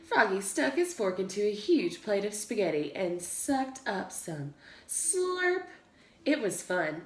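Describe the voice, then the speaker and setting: A woman's voice: mid-range voice, echoey room. A woman reading a children's storybook aloud like a preschool teacher, acting out the sound-effect words with emphasis.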